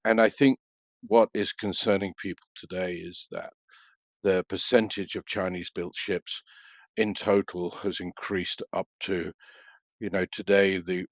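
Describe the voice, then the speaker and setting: Brief: middle-aged man, very low gravelly voice, dry dull recording, hesitant expert answers. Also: no echo. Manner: measured, hesitant with many fillers and restarts. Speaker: freight market analyst guest. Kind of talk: business interview answers.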